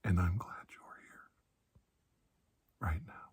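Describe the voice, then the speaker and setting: A man speaking in a soft, breathy ASMR roleplay as a calm, kindly doctor, gentle and reassuring with unhurried pauses. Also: low-pitched. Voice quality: breathy, hushed. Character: calm, kindly male doctor soothing a frightened patient. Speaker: ASMR performer playing a doctor.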